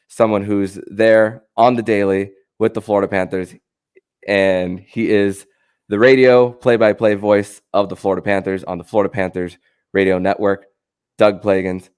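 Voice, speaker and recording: Low-voiced man hosting a sports podcast. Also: steady tone, measured pace, clean tone. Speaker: podcast host.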